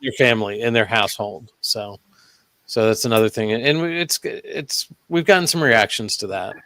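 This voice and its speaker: A man, a guest paranormal investigator, recounting his experiences in a casual podcast conversation, measured and hesitant with ums and restarts. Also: mid-range voice, pushed and bright, dry recording.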